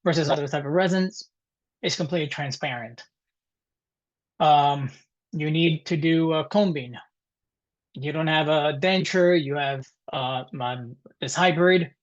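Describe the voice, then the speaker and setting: A man in his thirties with an Italian accent, presenting informally to a webinar in a halting, conversational way. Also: fairly high pitch. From Italy. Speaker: guest presenter, dental technician. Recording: dull, muffled webinar audio.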